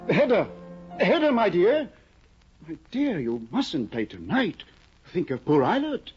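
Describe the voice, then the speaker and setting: A man's voice: high-pitched, very animated, unhurried, darkish tone, soft background music. An actor playing Tessman, a fussy, doting scholar husband who hesitates and fusses, in a 1950 radio drama. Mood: alarmed. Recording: dull lo-fi 1950 radio broadcast.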